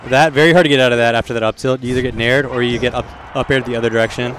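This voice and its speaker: Fast-talking analytical male commentator. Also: mid-range voice, steady tone, music in the background, poor audio. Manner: analytical, explains technical situations. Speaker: esports commentator. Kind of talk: live color commentary. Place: tournament venue.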